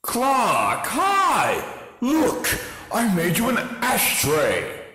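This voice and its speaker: A man's voice: extremely high pitch, huge pitch swings, drawn-out words, pushed and bright, full character voice. A man voicing a big, cheerful, oblivious superhero in a comic-book dub, with a grandiose, dramatic delivery. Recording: echoey with long reverb.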